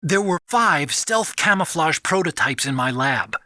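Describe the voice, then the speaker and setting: An actor playing a nervous, earnest, soft-hearted young male scientist in a video game, with a breathy high voice. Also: lively intonation, unhurried, dry recording, clean studio sound. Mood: uneasy. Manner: anxious, pleading.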